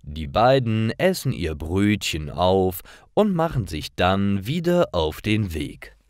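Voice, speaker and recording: A man narrates simple stories for language learners. His delivery is very unhurried and carefully phrased, with lively swings in intonation. The sound is dry and close, like a read-aloud. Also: deep voice, no room sound.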